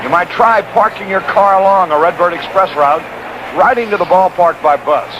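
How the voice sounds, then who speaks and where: A man's voice: sits high, normal speed, muffled recording, background noise. A man giving color commentary in a 1960s baseball radio broadcast. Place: stadium broadcast booth.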